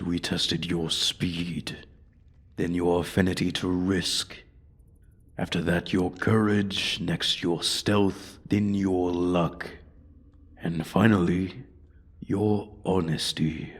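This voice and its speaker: A man's voice: low-pitched, slightly rough, full character voice. A man voicing an ancient male dragon in a fantasy roleplay, haughty, bored and menacing, speaking formally and condescendingly. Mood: menacing, toying. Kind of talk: ASMR fantasy roleplay audio.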